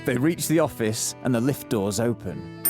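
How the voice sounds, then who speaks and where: A man's voice: medium pitch, lively intonation, moderate pace, over loud music, natural voice. A man delivering scripted narration for a comic audio drama.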